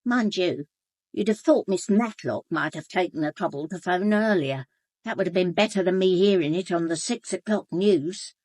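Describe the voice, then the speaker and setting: An actress playing a forthright, chatty working-class housekeeper in a radio drama, gossipy and quick to bristle. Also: female voice, fairly low pitch, darkish tone, no echo, close to an everyday voice. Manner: indignant, gossipy, matter-of-fact.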